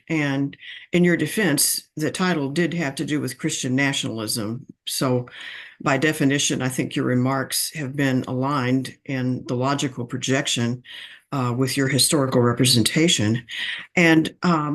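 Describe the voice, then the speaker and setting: A man in an online discussion asking the speaker a long, careful question, with many pauses and fillers. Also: medium pitch, fairly bright tone. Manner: slow, halting, many fillers. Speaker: audience member asking a question.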